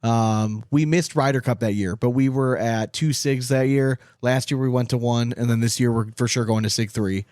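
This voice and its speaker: A man's voice: mid-range voice, fairly even intonation, normal speed, hardly any pauses, darkish tone, dry recording, studio quality. A man hosting a sports podcast, chatting casually in an informal, slangy, conversational way. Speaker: podcast host.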